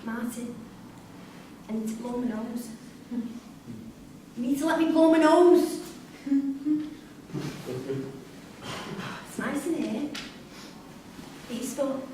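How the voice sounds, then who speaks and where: A roomy, distant recording with faint noise carries an actress as a bewildered, grieving widow in a staged drama reading. Her voice is extremely high, fragile and plaintive. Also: measured pace, pauses often. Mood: subdued, wistful. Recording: distant mic in echoey room, noisy.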